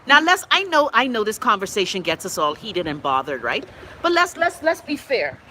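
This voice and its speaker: A woman's voice: mid-range voice, normal speed, slight background noise. A middle-aged Bahamian woman with a Bahamian accent, hosting an online show, reading viewer comments and answering them bluntly with swearing and coughing fits. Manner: animated and blunt, swears freely, reads comments aloud. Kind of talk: casual live-stream commentary.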